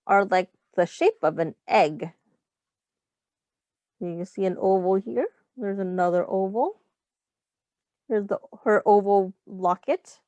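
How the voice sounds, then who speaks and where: A woman reading a picture book aloud to young children as a teacher, explaining simply and pausing to ask questions. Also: mid-range voice, mellow, no room sound, lo-fi sound.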